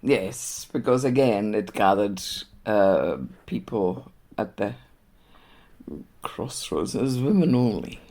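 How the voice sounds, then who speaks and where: A woman's voice: very deep voice, animated, slow, warm tone. Older Austrian woman reminiscing. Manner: hesitant, many fillers and restarts. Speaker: interviewee. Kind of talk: reminiscing interview.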